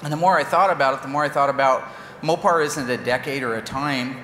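A man's voice: medium pitch, quick pace, bright, forceful tone, a little hiss or hum. A man in his fifties, a car restorer, chatting casually and jokingly on a press event stage. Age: 50s. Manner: brash, joking, enthusiastic. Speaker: car restorer and show guest. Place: large convention hall stage. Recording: echoey convention hall with faint ambience.

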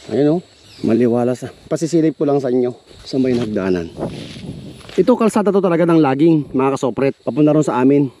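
A man vlogging as he walks through an overgrown yard, chatting to viewers as he guides them along, sometimes going quiet and hushed. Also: mid-range voice, expressive, a little fast, slight background noise. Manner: chatty, guiding viewers, hushed when uneasy. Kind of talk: casual tour narration. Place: overgrown rural yard outdoors.

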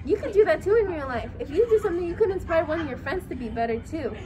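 A woman's voice: very high-pitched, animated, quick pace, crowd noise. A young woman, a student, reading a picture book aloud to a class in a friendly, teacherly way. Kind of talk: read-aloud with discussion. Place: outdoor schoolyard.

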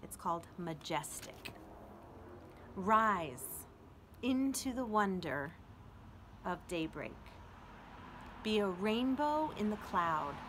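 A middle-aged woman reading poetry aloud expressively with deliberate pauses and casual asides. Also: mid-range voice, sing-song, a bit bright. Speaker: children's librarian reading to an online audience. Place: outdoors on a house front porch. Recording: outdoor traffic noise, street ambience. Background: street traffic.

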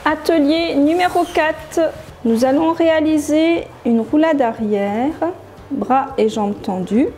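A woman in her thirties, a gym coach, giving clear step-by-step exercise instructions in a living room. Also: high voice, slow pace, music in the background.

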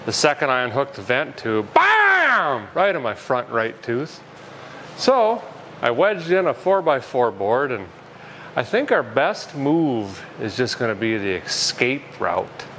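Deadpan man muttering over garage noise. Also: mid-range voice, takes it slowly. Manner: deadpan, folksy, rambling humor with muttered asides. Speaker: host of a car repair show. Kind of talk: casual do-it-yourself garage vlog, talking while working. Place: large auto repair garage with concrete floor. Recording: clear background noise, garage ambience.

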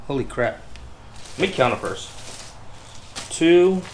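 A man in his forties hosting a fishing channel, unboxing gear in his garage and talking in a halting, earnest way with many restarts. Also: fairly high pitch, lively intonation, darkish tone, a little hiss or hum. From Texas. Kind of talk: casual unboxing vlog. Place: open garage, indoors by the door. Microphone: camera in front at close range.

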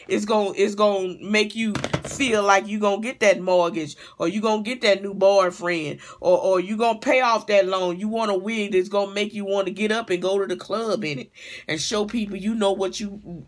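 A woman's voice: medium pitch, a bit bright. Blunt, exasperated middle-aged woman. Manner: blunt, profane, exasperated, conversational. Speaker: vlogger and hair stylist. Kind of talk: casual comedic product rant. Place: small home room, indoors.

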